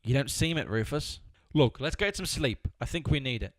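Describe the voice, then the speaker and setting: A man's voice: medium pitch, lively intonation, normal speed, dry recording, close to an everyday voice. A man playing an exasperated, stingy, bossy straight man with a dry, curt manner in an amateur audio comedy.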